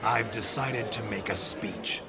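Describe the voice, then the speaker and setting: Guy voicing gruff deep monster hunter, cocky flowery talker, game cutscene with loud music under. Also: measured pace, close to an everyday voice. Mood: merry. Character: gruff monster hunter possessed by a boisterous reveller's ghost. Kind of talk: video game voice acting.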